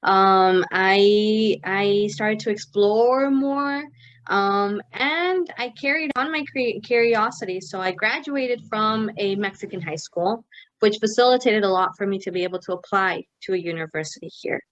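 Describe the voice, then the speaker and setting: A young woman chats as an interview guest. She rambles, restarts often and slips Spanish words in, and her voice sits at an ordinary pitch. The audio is a little dull, as if lo-fi. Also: unhurried. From United States. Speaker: guest, company co-founder. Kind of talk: casual interview conversation. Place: Mexico City.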